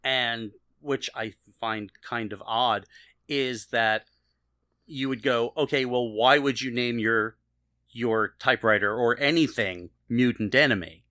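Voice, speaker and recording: A man in his fifties with a bright, forward voice at an ordinary male pitch, recorded dry. He talks casually as a co-host on a TV discussion podcast, explaining details with a trivia lover's care. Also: unhurried, no room sound. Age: 50s. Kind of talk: casual panel discussion of a TV show.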